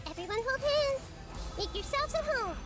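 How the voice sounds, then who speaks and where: Very high-pitched game girl. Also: expressive, normal speed. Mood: spirited. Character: energetic young girl fighter in a fantasy game. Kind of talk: video game battle barks. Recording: loud game music over the voice.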